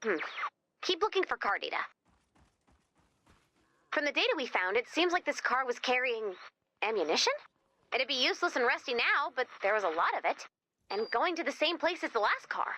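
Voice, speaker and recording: A woman playing an eager, curious young researcher who guides players in a video game, chatty and expressive as she thinks aloud. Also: high-pitched, moderate pace, long pauses, exaggerated voice. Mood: encouraging. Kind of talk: scripted video game dialogue.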